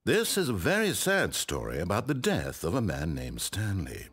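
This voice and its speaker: A man's voice: deep voice, huge pitch swings, moderate pace, a bit bright, slightly rough, exaggerated voice. A man with a British accent playing a wry, condescending, controlling narrator in a game, eloquent and theatrical. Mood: wry, pitying. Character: wry, exasperated, controlling male storyteller narrator. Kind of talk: theatrical scripted storybook narration.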